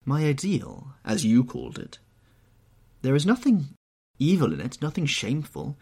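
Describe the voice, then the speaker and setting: A man's voice: mid-range voice, huge pitch swings, moderate pace, creaky, darkish tone, breathy edge, pitched above the performer's own voice, full character voice. A man voicing a horrified, anguished painter who pleads and moralises in an agitated, exclamatory way, in an audiobook story. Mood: appalled, anguished.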